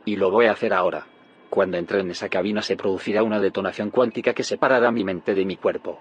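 An actor playing a grave, secretive scientist in an audio drama, solemn and explanatory. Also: male voice, sits low, fairly even intonation, fast talker, slightly rough, muffled recording. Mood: resolute. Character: grave, secretive male scientist haunted by failed timelines. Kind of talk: audio fiction drama acting.

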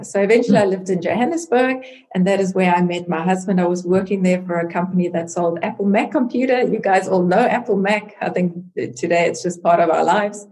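South African woman, low mellow voice. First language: Afrikaans. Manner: earnest, warm about her faith, laughs easily. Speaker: guest. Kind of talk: faith interview chat.

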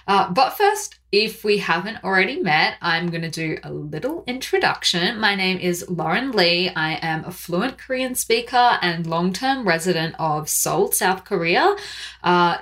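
A woman's voice: lowish voice, fairly bright tone. A young adult woman hosting a solo podcast, upbeat and chatty, with fillers and small laughs. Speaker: podcast host. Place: Seoul, South Korea.

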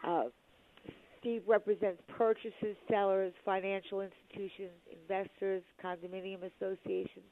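A woman hosting a talk radio show, a little hoarse, chatty with lots of fillers. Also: medium pitch, fairly even intonation, moderate pace. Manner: chatty, with many fillers and restarts. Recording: dry tinny phone-like radio sound.

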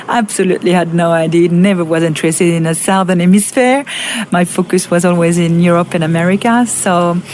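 A woman in her fifties from Monaco with a French accent, telling her personal story in an interview, halting with fillers and restarts. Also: lowish voice, darkish tone, a little hiss or hum. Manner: halting, many fillers and restarts, laughs often. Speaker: guest.

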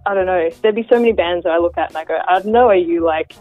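A woman's voice: lowish voice, rapid-fire, dry recording, phone-quality sound, soft background music. A woman radio presenter talks in a casual, self-deprecating way, laughing through her words. Her voice is recorded dry and close, with no room sound. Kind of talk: casual interview answer.

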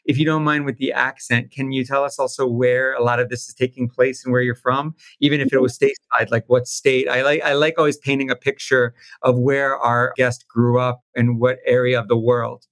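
A man's voice: mid-range voice, level tone, fairly quick, hardly any pauses, fairly bright tone, rough recording. A man co-hosting a podcast, asking curious, chatty questions full of filler words. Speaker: podcast co-host. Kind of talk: casual interview.

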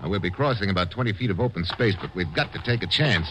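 An American man playing a bold, wry, hard-boiled adventurer in an old-time radio drama, with brisk informal delivery. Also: sits low, animated, fairly bright tone. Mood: urgent. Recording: noisy vintage radio recording.

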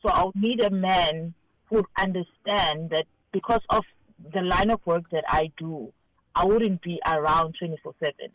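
A South African woman in her forties calling in to a radio show, speaking calmly and thoughtfully about what she wants. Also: fairly low pitch, moderate pace, no room sound, rough recording. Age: 40s. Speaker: caller. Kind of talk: radio phone-in interview.

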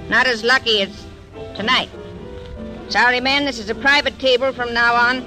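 A woman's voice: fairly high pitch, steady tone, normal speed, sharp tone, soft background music, low-quality recording. An actress playing an imperious woman who runs a casino, giving commands in 1940s radio acting. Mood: commanding.

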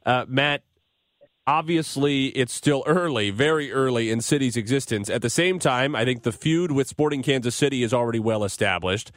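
A male radio host presents a live sports talk show. His voice sits at a medium pitch, and the sound is dry and close, with very little room around it. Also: moderate pace, dry recording.

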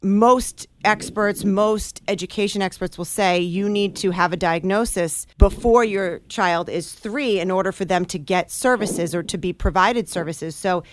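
A woman's voice: medium pitch, measured pace, few pauses, a little hiss or hum. A woman hosts a radio talk show from the studio in a mid-range voice. She reads her introduction with a polished rhythm and then moves into easy, conversational questions. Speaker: radio host.

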